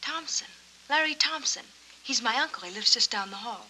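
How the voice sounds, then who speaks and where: Coy, very bright-voiced femme fatale. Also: female voice, on the high side, animated, moderate pace, slightly rough, full character voice, low-quality recording. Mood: coy, affected. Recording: vintage 1940s film soundtrack.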